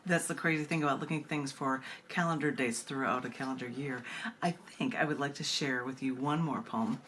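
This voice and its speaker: A woman's voice: rumbling low, a little fast. A woman poet hosting a reading, reciting poetry and chatting about it with emphatic phrasing. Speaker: poet and host of a book reading. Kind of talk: poetry recitation with casual commentary between poems. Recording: clear background noise.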